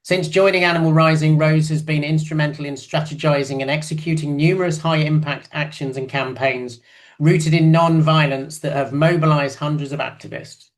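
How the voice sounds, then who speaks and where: A man's voice: a little high, steady tone, moderate pace. Man formally introducing a speaker. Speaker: event host.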